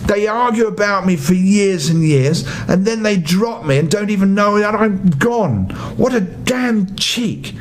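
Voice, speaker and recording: A man's voice: sits high, lively intonation, measured pace, hardly any pauses, pitched above the performer's own voice. A man voicing a grumpy, self-pitying and proud talking magic stone in a storybook, with an indignant, complaining manner.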